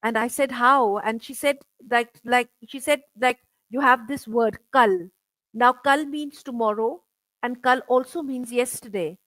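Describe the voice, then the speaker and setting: A middle-aged woman interviewing over a video call, chatty and halting, filling her talk with "you know" and restarts. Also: on the high side, fairly bright tone, no echo, poor audio. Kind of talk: casual conversational interview.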